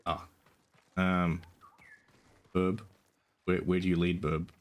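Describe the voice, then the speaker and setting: A young man with a soft, soothing voice, chatting casually while streaming a game, rambling with lots of ums and casual swearing. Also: low-pitched, level tone, slow pace. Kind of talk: casual gaming stream chat.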